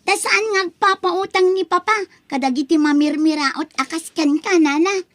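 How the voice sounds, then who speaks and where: A woman's voice: very high voice, moderate pace. A woman playing a snobbish, mocking rich girl in a drama, with a haughty, taunting manner. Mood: haughty, scornful. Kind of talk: Ilocano radio-style drama acting.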